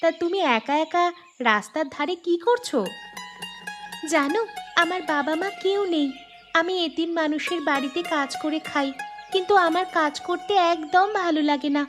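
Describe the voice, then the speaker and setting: Woman as a high-pitched little orphan village girl. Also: normal speed, music in the background. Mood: innocent, plaintive. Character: poor young orphan village girl, wistful. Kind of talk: cartoon fairy-tale voice acting.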